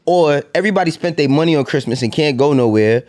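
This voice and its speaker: A man's voice: on the high side, lively intonation, fast talker, darkish tone. A man in his thirties in casual chat, loose and swearing, often breaking into laughs. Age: 30s.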